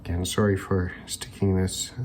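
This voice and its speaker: A man hosting an electronics teardown video, talking casually and unscripted, haltingly thinking aloud as he examines parts. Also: low voice, completely flat delivery, a little mellow, slight background noise. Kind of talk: casual unscripted hands-on demonstration talk.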